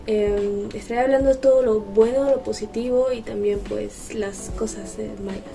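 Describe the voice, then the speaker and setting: A woman's voice: mid-range voice, steady tone, moderate pace, creaky. A woman vlogger chatting casually to camera, explaining things in a conversational way. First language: Spanish. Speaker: vlogger, host. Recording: distant mic with music behind.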